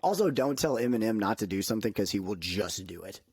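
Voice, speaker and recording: A man co-hosting a podcast, talking in an opinionated, emphatic way that jumps up in pitch when he gets worked up. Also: animated, quick pace, darkish tone, slightly rough, no room sound, studio quality. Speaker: podcast co-host. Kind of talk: casual chat.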